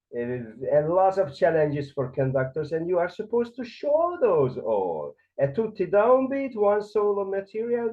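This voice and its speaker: A man with a Hungarian accent teaches conducting in an animated, explanatory way, counting beats and voicing the rhythms. His pitch swings widely as he talks. The recording sounds somewhat dull and lo-fi. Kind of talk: explanatory music lesson.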